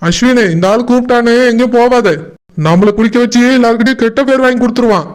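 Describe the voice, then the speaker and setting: A man's voice: extremely high pitch, steady tone, fast, heavy background noise. A man voicing an exasperated young villager in a comedy cartoon, grumbling irritably with a Kanyakumari Tamil accent. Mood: irritated grumbling.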